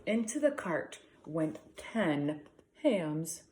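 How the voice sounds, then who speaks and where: Female primary teacher, expressive storybook read-aloud with slow emphasis and questions for young listeners. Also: low-pitched. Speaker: elementary school teacher. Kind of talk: picture book read-aloud for children.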